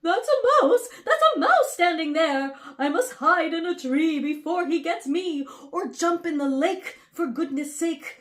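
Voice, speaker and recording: A woman voicing a big, easily frightened elephant in a children's story, loud and exclamatory. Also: high voice, very animated, takes it slowly, roomy sound, full character voice. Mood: panicked.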